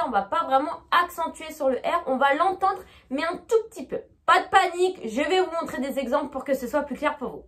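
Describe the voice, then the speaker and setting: Young French-accented woman, high voice, chatty teacher talking to camera. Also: fairly bright tone. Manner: chatty, keeps asking "d'accord?". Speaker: English teacher. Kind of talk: online lesson to camera.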